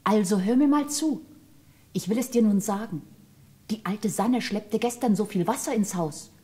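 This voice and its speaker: An actress playing an affectionate, earnest little girl in a fairy-tale radio play, with a husky, childlike voice. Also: medium pitch, moderate pace, warm tone, lightly acted. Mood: earnest, worried.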